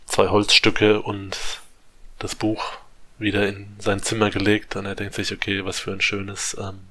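A man's voice: on the low side, monotone, unhurried, a bit bright. A man on a casual podcast, recapping a book chapter in a rambling way with many fillers and restarts. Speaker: podcast co-host summarizing a book.

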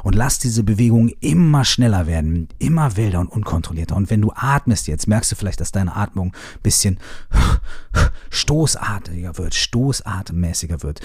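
A man with a very deep, husky voice talks a listener through a body-shaking exercise. His instructions repeat in a soft, half-whispered way, casual enough to slip in a curse. The sound is dry and close, with no room around it. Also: animated, normal speed, no room sound. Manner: repetitive spoken instructions with casual swearing. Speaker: movement exercise guide. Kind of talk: guided shaking meditation.

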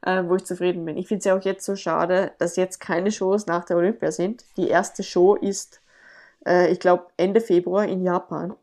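A woman's voice: fairly low pitch, fairly even intonation, moderate pace, darkish tone. A woman guest in a casual podcast interview, sounding congested from a cold, who rambles and keeps correcting herself. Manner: rambling, frequent self-corrections. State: congested, stuffy nose from a cold. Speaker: athlete guest.

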